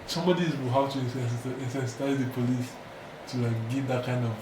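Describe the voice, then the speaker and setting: A Nigerian-accented man jumps in with quick, excitable reactions to the story. He sounds distant from the mic in a very echoey room, with clear background noise. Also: mid-range voice. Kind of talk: casual chat.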